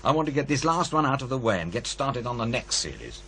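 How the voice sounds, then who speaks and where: A sceptical male presenter in a radio play. He is brisk, argumentative and set on his point, with a very mobile intonation. There is slight hiss in the recording. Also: mid-range voice, sing-song, bright, forceful tone. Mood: insistent. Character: sceptical, determined male paranormal programme presenter. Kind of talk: radio drama dialogue.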